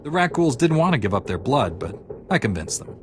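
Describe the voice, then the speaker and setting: A man's voice: mid-range voice, very animated, a little fast, slight background noise, lightly acted. A man acting a solemn, resolute warrior monk in a video game, formal and composed. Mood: dry.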